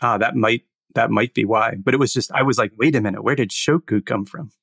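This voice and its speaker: A man's voice: mid-range voice, brisk, creaky, a bit bright, husky, no room sound, clean studio sound. A man co-hosting a podcast, from the United States, talking in an easygoing way and laughing often. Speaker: podcast co-host. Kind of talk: casual podcast chat.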